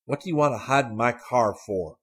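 A man's voice: fairly low pitch, normal speed, slightly rough. A man voicing a brooding young man in an audiobook, speaking stiffly and irritably. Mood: curt. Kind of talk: audiobook character voice.

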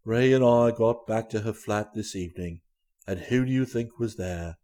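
A man's voice: fairly low pitch, moderate pace, mellow, voice pushed down, lightly acted. A man voicing a breezy, love-struck young upper-class fellow, dreamy and chummy, in a storybook reading. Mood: blissful.

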